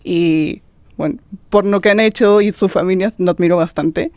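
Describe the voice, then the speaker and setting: A woman's voice: lowish voice, normal speed, a little mellow, no room sound. A young woman with a Peruvian accent, an exchange student answering thoughtfully with some hesitations. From Peru. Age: young adult. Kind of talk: conversational answer.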